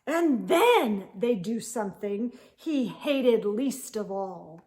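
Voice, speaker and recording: A woman reading a children's picture book aloud as a storytime host, expressive and theatrical, with drawn-out emphasis. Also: mid-range voice, slight background noise.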